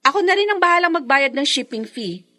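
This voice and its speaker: Bright, high-voiced eager Filipina. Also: female voice, expressive, brisk. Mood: curious, businesslike. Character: eager, chatty adult daughter and wife. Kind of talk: Filipino radio drama acting.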